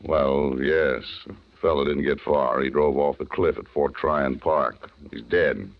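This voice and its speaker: An American man acting a grave, tactful police lieutenant with measured, hesitant politeness in a 1940s radio drama. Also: extremely low pitch, steady tone. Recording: slightly muffled vintage radio recording.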